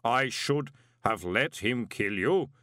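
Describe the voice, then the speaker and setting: Man doing a slow, rumbling clay golem. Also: animated, sharp tone, dry recording, studio quality. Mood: blunt, calm. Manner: slow, formal, measured speech. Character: impassive male clay golem, huge, literal-minded, sternly moral. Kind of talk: audiobook character voice.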